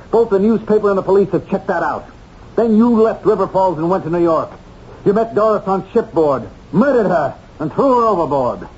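An actor playing a wronged ex-convict in a 1970s radio drama, accusing firmly and deliberately. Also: male voice, sits high, darkish tone. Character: wronged ex-convict bookkeeper, resolute accuser clearing his name. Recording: dull, noisy old radio recording.

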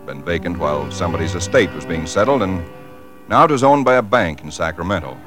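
Casting: weary 1940s radio husband, very low voice, expressive swings, brushing off worries, old recording with music. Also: male voice, quick pace. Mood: reflective, measured. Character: weary, dismissive husband recalling a house move. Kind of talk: 1940s radio drama acting and narration.

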